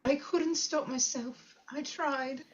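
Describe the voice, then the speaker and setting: A woman's voice: high voice, fairly even intonation, takes it slowly. A woman playing a terrified, tearful yet determined young woman in a script table read, on a slightly muffled microphone. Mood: panicked, tearful.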